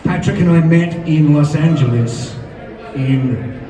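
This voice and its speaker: A man's voice: on the high side, slow pace. Wry English Midlands man at a stage Q&A, heard from a distance in a reverberant hall. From England. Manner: wry, self-deprecating. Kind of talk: stage Q&A chat. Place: large hall with audience. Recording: echoey hall, far off, crowd.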